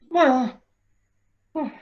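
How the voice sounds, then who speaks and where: A woman playing a calculating, measured woman who coaxes a dangerous childlike man in an amateur mystery play. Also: high voice, lo-fi sound. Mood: nervous, soothing. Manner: coaxing, measured, deliberate. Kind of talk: amateur radio-play acting.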